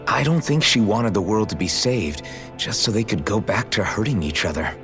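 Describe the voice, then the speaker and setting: An earnest young hero in a game story. The voice is notably deep, with plain-spoken, sincere delivery. There is some music underneath. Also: male voice, expressive, moderate pace. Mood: earnest, hopeful. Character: earnest, idealistic young male hero warrior. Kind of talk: dramatic video game cutscene acting. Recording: music playing behind the voice.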